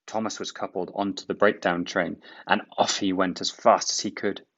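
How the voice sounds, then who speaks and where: A man reading a children's book aloud in expressive storybook narration. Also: low-pitched, moderate pace, breathy edge, dull audio.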